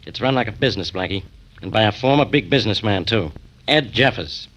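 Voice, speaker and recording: A man playing a gruff, harried police inspector who grumbles curtly, in 1940s radio detective style. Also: on the low side, expressive, a little fast, fairly bright tone, rough recording. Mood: exasperated. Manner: curt, grumbling. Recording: vintage 1940s radio recording.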